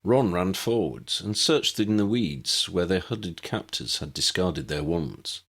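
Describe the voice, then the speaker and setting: A male narrator reading fantasy fiction in a slightly low voice. His pitch moves around in a very lively way as he tells the story. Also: a little slow, gravelly. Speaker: audiobook narrator.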